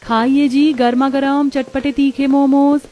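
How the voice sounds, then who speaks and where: Lady doing a poor hardworking young mom street seller for a cartoon, soft dark tone, tender. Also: high-pitched, level tone, unhurried, voice pushed up. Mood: calling out. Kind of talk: cartoon story character voice.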